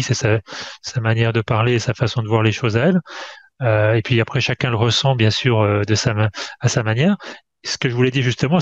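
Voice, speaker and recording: A man hosting a conversational interview over a video call, speaking haltingly, with many hesitations and restarts. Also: medium pitch, no echo. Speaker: host. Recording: dull, dry video-call audio.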